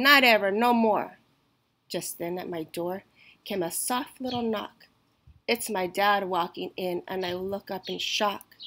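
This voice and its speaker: Female storytime reader: unhurried, emphatic delivery, slightly high and bright, for rhyming picture books. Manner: slow, deliberate, emphatic storytelling. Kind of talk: expressive read-aloud of a rhyming children's picture book.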